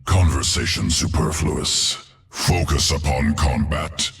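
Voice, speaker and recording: A cold, loyal insectoid organism from a video game. The voice is bottomless and creaky, doling out clipped, clinical phrases at a slow crawl, with faint music behind it. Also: male voice, rumbling low, a bit bright, full character voice. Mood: cold, dismissive. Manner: clipped, article-free, detached phrases. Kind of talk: video game character acting.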